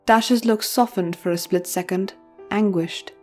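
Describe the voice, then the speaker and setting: A woman's voice: mid-range voice, fairly even intonation, measured pace, darkish tone, soft background music. A woman narrating a story in a dramatic, scripted reading style.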